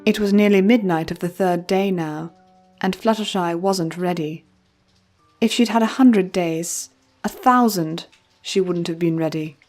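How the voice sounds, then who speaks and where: A woman's voice: on the low side, measured pace, a little mellow. A woman reading a scripted story as an audiobook narrator, in a dramatic storytelling style. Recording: faint music behind narration.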